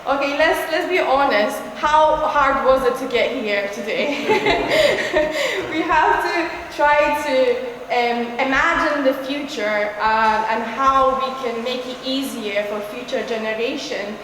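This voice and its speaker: A woman's voice: high-pitched, expressive, background noise. Earnest woman speaking in an echoey hall. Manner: earnest, halting with many fillers. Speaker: speaker at a climate rally. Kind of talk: political speech. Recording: echoey hall, roomy reverb.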